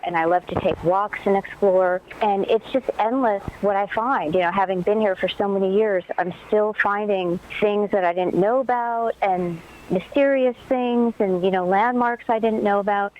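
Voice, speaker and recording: A woman's voice: medium pitch, steady tone, moderate pace, few pauses, dull audio. A woman, a playwright guest talking in a thoughtful, conversational way. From Los Angeles, USA. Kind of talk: interview conversation.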